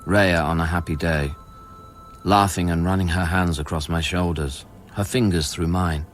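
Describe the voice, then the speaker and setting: Actor as brooding man, very deep voice. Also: steady tone, soft background music, close to an everyday voice. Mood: brooding, guilty. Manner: measured, intimate, hushed. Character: brooding, guilty man soothing his troubled lover. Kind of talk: radio drama acting with introspective narration.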